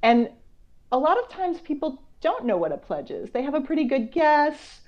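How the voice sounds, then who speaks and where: A woman's voice: high voice, big pitch swings, moderate pace, a little mellow. Woman from the US chatting about kids books, keen and simple wording, bit lo-fi sound. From United States. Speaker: children's book author, guest. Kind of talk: conversational interview chat about books. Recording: dull, lo-fi video call audio.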